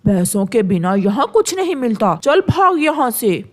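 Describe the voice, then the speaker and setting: A woman's voice: fairly high pitch, very animated, measured pace. A woman voicing a stern adult male pigeon shopkeeper in a children's cartoon, curt and accusing. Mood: scolding.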